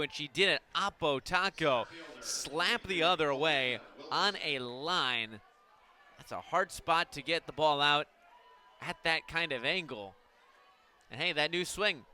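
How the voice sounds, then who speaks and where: A man giving conversational color analysis on a softball radio broadcast, explaining things in an informal way. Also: on the high side.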